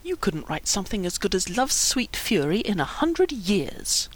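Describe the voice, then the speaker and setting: A woman voicing a scornful, teasing young woman in a story, quiet and sarcastic. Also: fairly high pitch, very animated, normal speed, voice pushed up.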